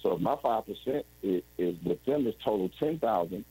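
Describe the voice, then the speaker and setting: Man, forties, guest on a video call, husky, warm tone, rambling, restarts. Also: lowish voice, expressive, fairly quick, rough recording. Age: 40s. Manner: rambling, with frequent restarts and "you know what I'm saying". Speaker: interview guest. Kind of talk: candid storytelling over a video call.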